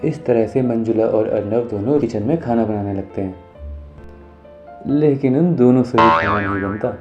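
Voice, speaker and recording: A man narrating an animated moral story in a scripted storytelling style. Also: lowish voice, normal speed, muffled recording. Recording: music under the voice.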